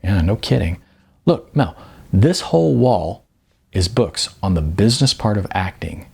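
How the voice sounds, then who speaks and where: A man's voice: fairly low pitch, animated, gravelly, a little mellow, slightly rough, full character voice. A man voicing a naive, eager young aspiring actor in an audiobook, speaking hesitantly. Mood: amused, teasing. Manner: hesitant, with stammers and pauses. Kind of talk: audiobook character dialogue.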